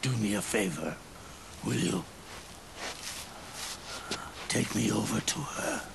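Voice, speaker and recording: An actor playing a weary, regretful old soldier near death in a video game cutscene, with a gravelly, breathy, halting and pained delivery. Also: male voice, lowish voice, very animated, background noise, full character voice. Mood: pained, bitter. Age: older. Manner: halting, solemn, labored delivery. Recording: orchestral music behind the voice.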